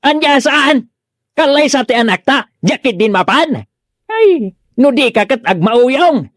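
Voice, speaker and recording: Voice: high-pitched, sing-song, measured pace, no room sound, studio quality. An actor playing a stern, emphatic character who scolds in an Ilocano comedy drama. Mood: angry.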